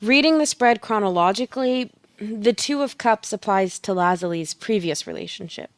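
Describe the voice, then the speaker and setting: A woman playing a knowing tarot reader in an audio play, speaking in a measured, deliberate manner. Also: medium pitch, dry recording, natural voice. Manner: measured, pronouncing readings slowly.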